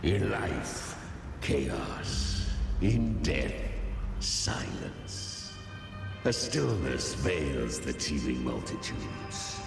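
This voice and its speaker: An actor playing a creepy preacher-like man in a video game, speaking in cryptic, solemn pronouncements with false gentleness. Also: a bass voice, very animated, extremely slow, gravelly, heavily acted. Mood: ominous, solemn. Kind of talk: theatrical video game acting. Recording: echoing hall reverb, loud music.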